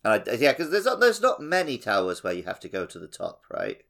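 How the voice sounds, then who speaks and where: A man's voice: mid-range voice, huge pitch swings, brisk, creaky, fairly bright tone. A man co-hosting a casual gaming podcast with wry, self-deprecating banter. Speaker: podcast co-host. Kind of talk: casual banter about video games.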